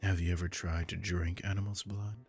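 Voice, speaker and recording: A man voicing a suave aristocratic male vampire lord in an ASMR roleplay, smooth and teasing. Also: low-pitched, level tone, a little fast, a little mellow, soft background music. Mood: smooth menace.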